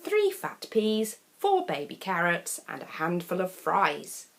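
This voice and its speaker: A woman reads a picture book aloud for young children. Her delivery is unhurried, with pitch that rises and falls in a lively way. She is expressive and deliberate, leaving dramatic pauses between short phrases. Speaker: storyteller reading children's picture books. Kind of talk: animated children's story reading.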